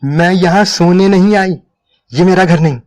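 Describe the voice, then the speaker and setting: A man voicing an exhausted, bitter woman in a dramatic story reading, curt, sharp and irritable. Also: sits high, unhurried, voice pushed up. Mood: furious, weary.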